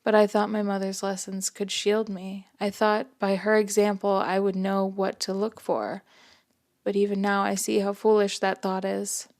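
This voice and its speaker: Soft-spoken creaky woman reading a story. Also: mid-range voice, monotone, moderate pace. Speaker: author and narrator. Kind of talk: first-person story reading.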